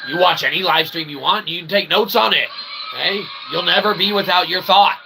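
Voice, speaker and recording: Male streamer, mock-formal comic philosopher, gravelly delivery, clear background noise. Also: high voice, fairly quick. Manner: theatrical, mock-formal wording with frequent check-in tags. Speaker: livestream host and self-published writer. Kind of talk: rambling comedic philosophical monologue to an audience. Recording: clearly noisy recording with background ambience.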